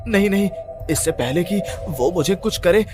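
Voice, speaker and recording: Casting: frightened young male writer, played by a man, high strained pitch, stammering, pleading, for animated horror story. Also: moderate pace, soft background music, lightly acted. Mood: anxious resolve. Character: panicked, frantic young male writer afraid of spirits.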